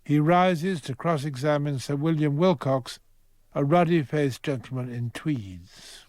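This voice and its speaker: Actor as male narrator, observant, measured, literary, slightly high pitch, dry close sound, radio drama. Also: darkish tone, no echo, natural voice. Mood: poised, observant. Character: dry, observant narrator setting courtroom scenes.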